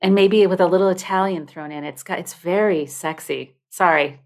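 A woman's voice: on the low side, normal speed. A woman hosting an interview, playful and chatty, often breaking off and restarting her sentences. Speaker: podcast host. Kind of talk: casual interview conversation.

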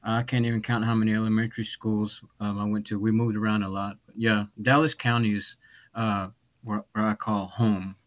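Man from Texas, low voice, halting testimony, lo-fi video call audio. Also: level tone. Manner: halting, many restarts and fillers. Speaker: podcast guest. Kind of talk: personal testimony.

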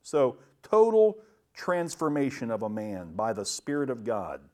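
A man's voice: medium pitch, big pitch swings, a little mellow. Male pastor preaching a sermon. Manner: emphatic delivery with rhetorical questions and pauses for stress. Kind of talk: sermon, verse-by-verse Bible teaching.

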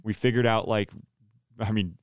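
Low-voiced man telling stories, smooth and dry. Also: moderate pace, no echo. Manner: animated storyteller, acts out quoted dialogue, laughs often. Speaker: worship pastor and podcast host, interviewed as guest. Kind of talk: casual storytelling interview.

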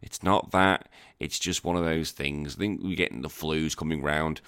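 Croaky deep-voiced man hosting a podcast. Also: steady tone, normal speed, fairly bright tone, dry recording, studio quality. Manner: rambling, self-deprecating. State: croaky from a cold. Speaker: podcast host. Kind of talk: casual chat.

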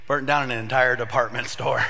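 Man in his 30s, pastor, a bit raspy from a cold, chatty storytelling with jokes, long reverb of a big hall. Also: medium pitch, fairly even intonation, normal speed, sharp tone, a little hiss or hum. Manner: chatty storyteller, jokey asides, many fillers. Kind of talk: casual sermon to young adults.

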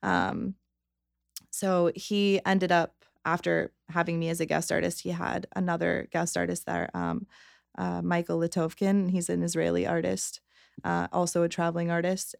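A woman's voice: fairly low pitch, creaky, clear, resonant tone, no echo, clean studio sound. A woman in her twenties from Connecticut, a tattoo artist talking casually as a podcast guest, full of "like" fillers and quoting herself. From Connecticut, United States. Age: 20s. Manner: chatty, frequent "like" fillers, quotes herself in lively bursts. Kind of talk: casual conversational interview.

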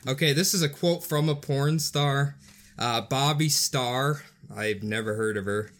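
A man co-hosting a live-streamed podcast, easygoing and quick to laugh. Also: medium pitch, fairly bright tone. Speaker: podcast co-host. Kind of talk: casual live-stream chat.

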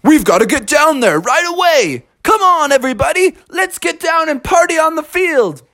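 A man's voice: high voice, animated, moderate pace, fairly bright tone, no echo, studio quality, voice pushed up. A man voicing a protective father bear in a children's story, urgent and taking charge of his family. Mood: excited. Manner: commanding, urgent.

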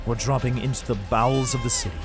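A man's voice: mid-range voice, fairly even intonation, fast, close to an everyday voice. A man playing a desperate father fleeing an alien invasion, narrating his escape in a first-person audio drama. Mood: tense narration. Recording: music bed under the voice.

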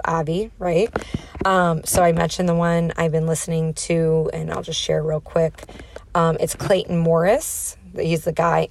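A woman's voice: lowish voice, creaky. A woman in her thirties with a nasal voice, chatting casually and emphatically as she hosts a solo podcast. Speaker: podcast host.

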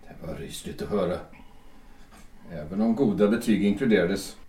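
A man's voice: deep voice, warm tone, natural voice. Man doing a stubborn old guy just dead, defensive, sounds far off in an echoey room. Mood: grudging. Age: older. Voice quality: defensive, grudging. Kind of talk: amateur audio drama acting.

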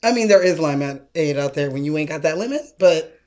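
A woman's voice: low-pitched, expressive, fairly quick, darkish tone. A woman co-hosting a podcast, explaining things in casual, informal talk with swearing. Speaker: podcast co-host. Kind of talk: casual chat.

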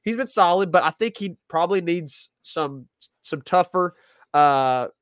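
A man's voice: on the high side, lively intonation, unhurried, clear, resonant tone, dry recording, studio quality. A man with a stuffy nose from a cold, co-hosting a casual sports talk show and thinking aloud with lots of restarts. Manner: rambling, self-correcting, thinking aloud. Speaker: podcast co-host.

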